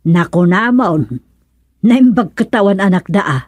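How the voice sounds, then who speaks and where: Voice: medium pitch, animated, a little slow, darkish tone, dry recording. An actor playing a matter-of-fact village gossip in a radio comedy, conversational, with an Ilocano accent. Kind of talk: radio serial drama-comedy acting.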